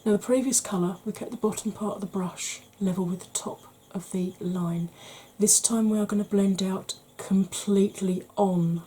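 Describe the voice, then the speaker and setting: A woman from the UK, a beauty vlogger talking through a makeup tutorial in a quiet voice that keeps slipping into whispers. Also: mid-range voice, steady tone, moderate pace, few pauses, slightly rough. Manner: quiet, soft-spoken, drifting into whispers.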